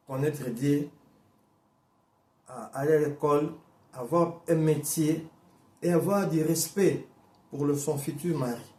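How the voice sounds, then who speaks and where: A man preaching a sermon in as a Portuguese first-language speaker, emphatic, repeating and restarting phrases, swinging between slow stressed words and quick bursts. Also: fairly high pitch, mellow, echoey room. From Portuguese-speaking Africa.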